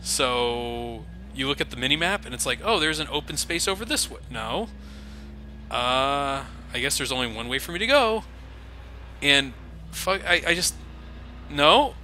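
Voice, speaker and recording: A man's voice: medium pitch, animated, unhurried, pushed and bright, slight background noise. A man giving casual, sarcastic commentary over a video game, joking about its flaws. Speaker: let's play commentator.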